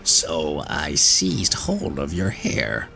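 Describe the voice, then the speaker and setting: Old crafty grandmother in a fairy tale, read by a man: low, gravelly, unhurried. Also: wildly swooping pitch, bright, forceful tone, exaggerated voice. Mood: calm. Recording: faint music behind.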